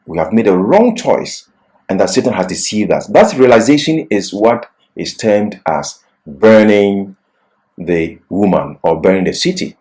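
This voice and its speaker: A man delivering a scripted Bible lesson in an earnest, deliberate, emphatic manner. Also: on the low side, animated, a little mellow. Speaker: Bible teacher. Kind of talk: religious lesson, scripted exposition.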